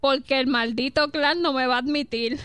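A woman's voice: high-pitched, steady tone, normal speed, fairly bright tone, dry recording, soft background music. A Puerto Rican woman co-hosting a radio show, reading quotes aloud and laughing as she talks. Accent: Puerto Rican Spanish. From Puerto Rico. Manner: reads quotes aloud, laughs while talking. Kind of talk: casual radio banter.

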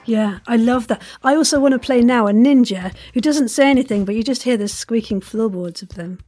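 A woman's voice: a little high, a little fast, mellow, faint music behind. A woman hosting a casual interview, effusive in manner, often exclaiming and encouraging her guest. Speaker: podcast host, interviewer.

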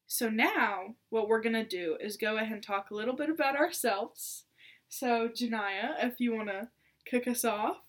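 A woman's voice: on the high side, moderate pace. A seventeen-year-old girl born in Iraq, co-hosting a casual podcast and chatting with lots of fillers and giggles. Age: teens. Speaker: podcast co-host. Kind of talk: casual chat.

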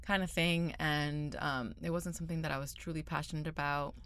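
A young woman from Venezuela chats casually as a podcast co-host. Her voice sits low and has a gravelly edge. She laughs easily and fills her pauses with ums. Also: fairly even intonation, fairly bright tone. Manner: laughs often, many ums. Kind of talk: casual chat. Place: California.

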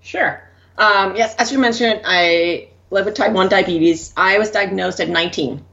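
A woman from Denmark, a guest on a podcast, telling her story in a relaxed, candid way. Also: medium pitch, normal speed, vocal fry. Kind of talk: casual interview storytelling. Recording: dull lo-fi sound.

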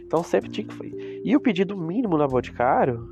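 A man's voice: on the high side, very animated, fairly quick, a little mellow. A Brazilian man talks to his viewers in a loose, rambling way, full of asides. His nose is stuffed up from a cold. Guitar music plays behind him. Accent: Brazilian Portuguese. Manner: chatty, rambling, with asides to the audience. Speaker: cosmetics reseller vlogger. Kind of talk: casual talk to viewers. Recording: music playing in the background.

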